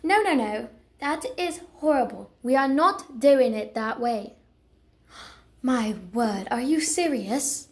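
High-pitched young dragon princess, voiced by a woman. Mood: earnest, firm, then shocked. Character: young female dragon cat princess, earnest, proud, dramatic. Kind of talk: character voice lines, amateur cartoon acting.

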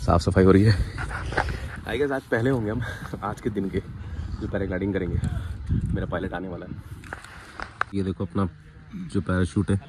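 A man's voice: deep voice, normal speed, long pauses, noisy background. A man in his thirties vlogging casually at an outdoor hilltop launch site, chatty and full of questions, filmed on a handheld camera. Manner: relaxed, chatty, asks lots of questions. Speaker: travel vlogger. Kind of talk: casual vlog chat. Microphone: handheld camera on a stick. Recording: outdoor crowd chatter behind.

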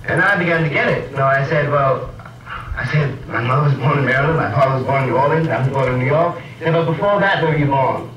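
A man telling a reflective story in a measured, ironic way, heard from an old film clip. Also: mid-range voice, reverberant, recorded from a distance, highs cut off. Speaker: speaker in an archival film clip. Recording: old film clip played in a room from afar.

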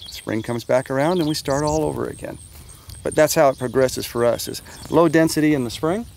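A man's voice: medium pitch, vocal fry, darkish tone. Older man, cattle farmer, plain-spoken, outdoor field, faint noise behind. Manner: practical, plain-spoken, with fillers. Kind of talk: casual explanation. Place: open pasture outdoors.

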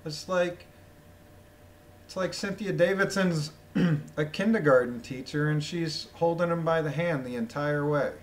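A man with a phlegmy voice reading a document aloud with casual, incredulous, mocking commentary, often clearing his throat. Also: fairly high pitch, unhurried. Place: voice-over of a screen recording.

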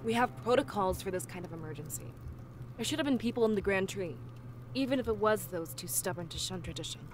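A woman's voice: mid-range voice, lively intonation, fast, slight background noise, close to an everyday voice. An actress playing an earnest, questioning young female fox-like villager in a video game, serious and insistent. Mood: troubled, insistent.

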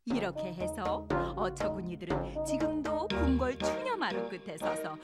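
A woman narrating a children's story in a bright, singsong storyteller's manner. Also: mid-range voice, big pitch swings, unhurried. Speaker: storyteller host. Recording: music under the voice.

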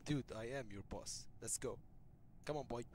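A man's voice: medium pitch, normal speed, darkish tone, slight background noise. A man trolling other players in a virtual reality game voice chat, bossy and teasing, talking through a headset mic. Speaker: player and channel host.

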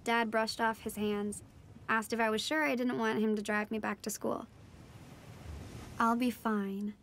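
High-voiced earnest teenage daughter narrating. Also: female voice, level tone, unhurried, fairly bright tone, a little hiss or hum. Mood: warm, hopeful. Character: teenage daughter of a marine biologist, earnest storyteller. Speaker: narrator and lead character. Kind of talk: scripted first-person audio drama narration.

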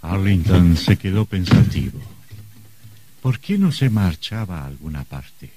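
An actor playing a grave, ominous narrator in a scripted radio horror drama. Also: male voice, deep voice, sing-song, a little slow, warm tone.

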